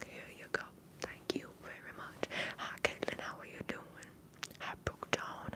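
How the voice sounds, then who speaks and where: A woman from the upper Midwest putting on a Southern American accent, talking softly and haltingly as she hosts an ASMR bartender roleplay livestream. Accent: American, affected Southern. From upper Midwest, United States.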